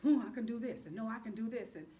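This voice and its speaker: A woman's voice: fairly high pitch, animated, quick pace, crowd noise. A woman answering interview questions as a guest in casual, conversational talk. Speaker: assistant director, interview guest. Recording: noisy room ambience.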